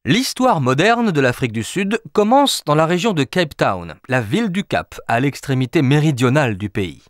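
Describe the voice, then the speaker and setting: A man reading a scripted documentary narration in a measured, expressive way, recorded as a studio voice-over. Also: fairly high pitch, no room sound. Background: music.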